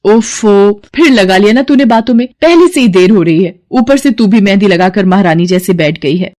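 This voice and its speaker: Lady doing a hardworking mom, fussy but loving, mellow storybook voice. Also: mid-range voice, lively intonation, moderate pace, close to an everyday voice. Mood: scolding. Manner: exasperated, motherly. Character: hardworking widowed mother, scolding but loving. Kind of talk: acted Hindi moral storybook dialogue.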